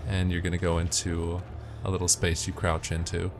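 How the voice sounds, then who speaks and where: A man's voice: sits low, monotone, moderate pace. A man giving matter-of-fact, step-by-step instructional commentary as he narrates a video game walkthrough.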